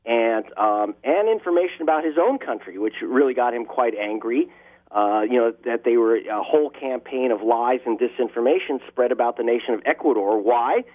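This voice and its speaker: A man speaks as an investigative journalist in an interview, his delivery impassioned and pressing hard on key words. His voice sits at an ordinary pitch. The sound comes through a thin, phone-like line with the low and high ends cut away. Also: normal speed. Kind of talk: interview answers. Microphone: telephone line.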